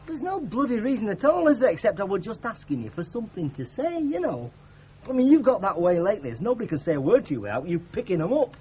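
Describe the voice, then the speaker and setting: An actor playing a chirpy, excitable workmate, blunt and chatty, with a Northern English accent in a 1970s radio drama. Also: male voice, sits high, quick pace. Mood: exasperated, cheeky. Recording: dull 1970s radio broadcast, noisy background voices.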